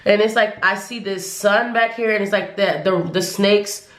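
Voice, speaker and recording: A woman talks her viewers through a pick-a-card tarot reading in a roomy, echoing space, her voice pitched in the middle of the range. She sounds hoarse because her voice is going. She rambles and halts, restarting her sentences again and again. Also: steady tone, normal speed, a bit bright. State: losing her voice. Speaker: tarot reader.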